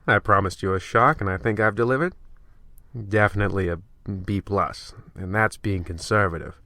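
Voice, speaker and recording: A man's voice: on the low side, moderate pace, pushed and bright, no echo. A man playing a smug, rambling host of an old-time horror radio show, hammy and pleased with himself. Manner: hammy, self-congratulating, rambling. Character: smug, bumbling horror-anthology radio host, self-satisfied. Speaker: narrator and host.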